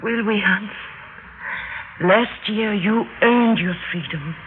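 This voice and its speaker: A woman's voice: mid-range voice, slow pace, close to an everyday voice. A woman acting a proud, suspicious, anguished German wife in an old-time radio drama. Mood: bitter, reproachful. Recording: narrow-band old radio recording, faint noise.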